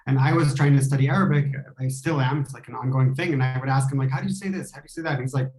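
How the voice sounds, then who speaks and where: A podcast producer and documentarian reflects out loud on a video call. He is a man with a mid-range voice who circles back and restarts his sentences as he thinks. His room sounds bare and echoey. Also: medium pitch, rapid-fire. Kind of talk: casual conversation on a video call.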